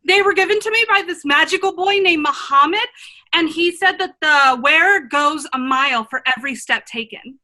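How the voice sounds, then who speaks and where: A woman acts an excitable young fairy-tale heroine in a radio play. The voice sits very high and rings bright. The talk gushes and rambles in friendly bursts. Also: normal speed. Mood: gushing wonder. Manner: rambling, gushing.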